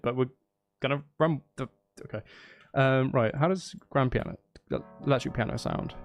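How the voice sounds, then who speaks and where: Animated, creaky-voiced man livestreaming music-making. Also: mid-range voice. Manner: playful, rambling, thinking aloud. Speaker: streamer. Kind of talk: casual livestream chat while making music. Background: electronic music being composed.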